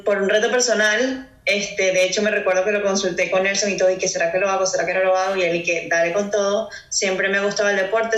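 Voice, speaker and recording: Venezuelan girl in her 20s chatting casual, mid pitch, echoey room. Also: a bit bright. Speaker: podcast guest. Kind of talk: casual interview talk. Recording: roomy echo.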